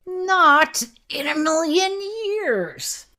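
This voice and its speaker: An actress playing an earnest, keen time traveller with an emphatic, insistent manner in a radio play. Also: female voice, high-pitched, animated, very slow, bright, forceful tone, heavily acted. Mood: incredulous.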